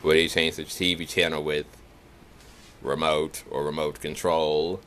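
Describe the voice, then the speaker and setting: A young man from the Chicago area with a Chicago accent, talking in a nasal, monotone way for a casual accent challenge video. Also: very deep voice, a little slow, a little hiss or hum. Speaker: vlogger.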